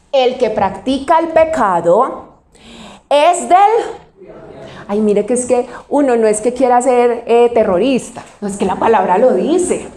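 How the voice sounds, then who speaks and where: A woman pastor from Colombia with a Cali accent, preaching a sermon. She puts questions to the congregation and answers them herself, and she slows down to stress single words. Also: a little high, darkish tone, reverberant. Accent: Cali Colombian Spanish.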